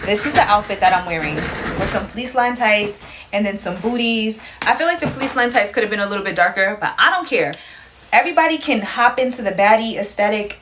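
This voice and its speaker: Female, twenties, self-assured and slangy fashion chat, medium pitch, audibly noisy recording. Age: 20s. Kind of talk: casual outfit showcase. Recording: noisy, with clicks.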